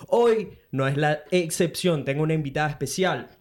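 A man with a Venezuelan accent hosting a casual podcast interview, animated, slangy and quick to laugh. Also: on the high side. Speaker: podcast host.

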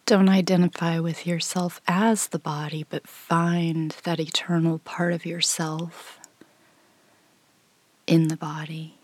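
A woman leading a guided meditation in a soft, breathy, near-whispered voice, gently and without hurry, leaving long pauses. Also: deep voice, flat delivery, no echo. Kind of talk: guided meditation, slow calm instruction.

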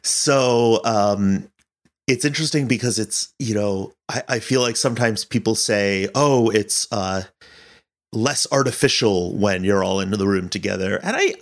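Thoughtful, halting man with a lowish voice. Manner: thoughtful, halting, searching for words. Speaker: director of audio fiction, interviewee. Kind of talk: casual interview talk.

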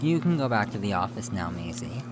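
A woman's voice: rumbling low, very animated, a little fast, very noisy. A woman playing a domineering, smugly patronizing senior TV producer in a naturalistic audio drama. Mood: smug, belittling.

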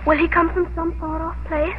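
Eager, innocent kid sister, extremely high and girlish, muffled vintage radio sound with faint noise. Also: flat delivery, normal speed. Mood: eager curiosity. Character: eager, naive little sister of the heroine. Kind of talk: 1950s radio drama acting. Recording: noisy, muffled 1950s radio recording.

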